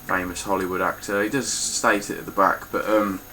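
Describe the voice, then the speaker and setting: A man's voice: deep voice, level tone, moderate pace, bright, forceful tone, slight background noise. A man with a stuffy, nasal voice giving a casual, blunt spoken review of his game collection, with frequent ums and some swearing.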